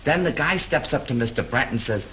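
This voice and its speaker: An actor playing a chatty young hotel bellhop who tells a story in breezy slang, in 1930s film acting. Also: male voice, medium pitch, expressive, fairly quick, a bit bright, lightly acted, low-quality recording. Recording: hissy 1930s film soundtrack.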